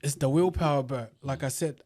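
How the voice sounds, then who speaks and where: A man's voice: medium pitch, brisk, warm tone, slight background noise. Laid-back streetwise young man. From grew up in Uganda and Australia. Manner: laid-back, streetwise slang, repeats "you know what I mean". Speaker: guest, event promoter. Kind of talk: casual podcast chat. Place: podcast studio.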